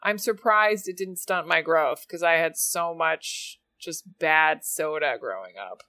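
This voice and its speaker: A woman who grew up in Spain, a podcast guest telling animated stories with lots of fillers. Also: deep voice, unhurried. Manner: animated storyteller, frequent fillers. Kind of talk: casual conversational interview.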